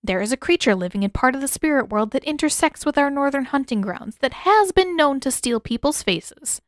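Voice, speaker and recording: An older village mother, as a woman reader plays her, firm and shrewd, in a dry, close recording. She speaks in a measured, matter-of-fact way at a somewhat raised pitch, never giving ground in this storybook drama. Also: animated, no room sound.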